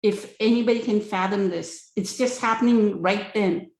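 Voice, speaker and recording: A woman's voice: mid-range voice, moderate pace, darkish tone. Woman teaching spiritual stuff on a live call, earnest, keeps checking in with listeners, roomy echo. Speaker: spiritual teacher hosting an online Q and A. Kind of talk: spiritual talk, conversational teaching. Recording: echoey, roomy sound.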